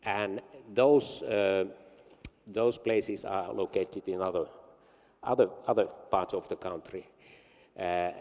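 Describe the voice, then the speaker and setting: A man, an English speaker, answering at a panel in a halting way with many fillers and restarts. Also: low-pitched, dark tone. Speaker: regional council representative. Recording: long hall reverb.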